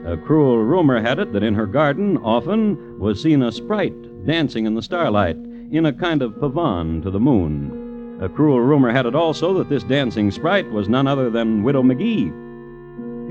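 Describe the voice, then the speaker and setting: Actor as a wry, animated radio storyteller. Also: male voice, mid-range voice, moderate pace, lightly acted. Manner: theatrical, ornate phrasing. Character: sly, wry storyteller of macabre tales. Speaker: narrator. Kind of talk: old-time radio drama narration. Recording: muffled vintage radio recording. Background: plucked guitar music.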